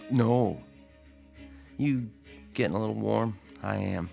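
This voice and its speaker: A man's voice: on the low side, gravelly, dark tone, faint music behind, heavily acted. An actor playing a slangy, cajoling young bad-boy biker in an audio horror drama. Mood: pushy, coaxing. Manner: slangy, cajoling, casual drawn-out delivery.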